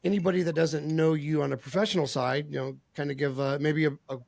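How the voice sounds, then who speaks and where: A man's voice: on the high side, fairly even intonation, fast talker, gravelly, darkish tone, dry recording, clean studio sound. A male podcast host losing his voice, chatting earnestly with a guest and often repeating and restarting his words. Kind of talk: casual interview chat.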